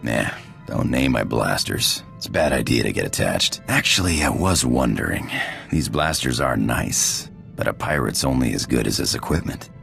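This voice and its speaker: A man playing a cocky, roguish space pirate in a video game, with a gravelly drawl, casual slang and a flirtatious swagger. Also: animated, moderate pace, fairly bright tone, soft background music, heavily acted. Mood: relishing, relaxed. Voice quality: gravelly, very deep voice. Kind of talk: video game character acting.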